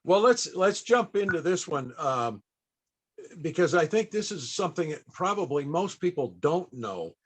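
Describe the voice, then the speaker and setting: A man's voice: on the high side, big pitch swings, moderate pace. Man telling stories as panel host. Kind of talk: conversational storytelling to an audience.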